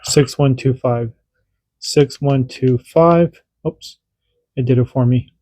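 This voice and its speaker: Man, radio hobby host, mellow voice, dry room, chatty, repeats himself. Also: medium pitch, slow pace, dry recording. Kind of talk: casual demonstration tutorial.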